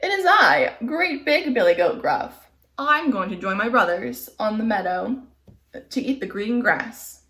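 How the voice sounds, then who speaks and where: Woman playing the eldest billy goat, male, big, brave, calm. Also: fairly high pitch, normal speed, few pauses, echoey room. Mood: steady.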